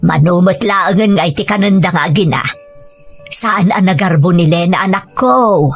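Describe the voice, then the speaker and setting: A woman's voice: on the low side, moderate pace, breathy edge, soft background music. Woman playing a grave older mother-in-law. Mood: approving. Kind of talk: audio drama dialogue.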